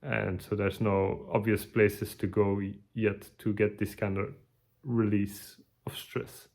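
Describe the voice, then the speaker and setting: A tired man, giving a halting, casual video update with many restarts. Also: lowish voice, little pitch movement, creaky, a little mellow. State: tired, burned out. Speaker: vlogger.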